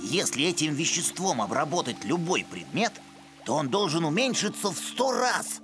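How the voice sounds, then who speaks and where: An animated, bookish cartoon boy inventor. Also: deep voice, moderate pace. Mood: pedantic. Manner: pedantic, earnest, scholarly. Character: bookish, serious young boy pup inventor. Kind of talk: animated children's series voice acting. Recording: music behind the voice.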